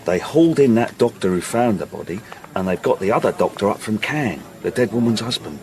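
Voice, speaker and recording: An actor playing a gossipy, deferential cafe waiter in a radio drama, chatty and eager. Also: male voice, on the low side, lively intonation, fairly quick, background noise. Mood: eager, confiding.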